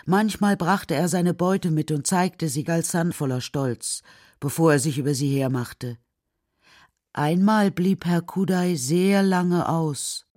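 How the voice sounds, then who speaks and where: A woman's voice: deep voice, takes it slowly, a little mellow, no echo. A woman narrating a children's radio drama as a scripted storyteller.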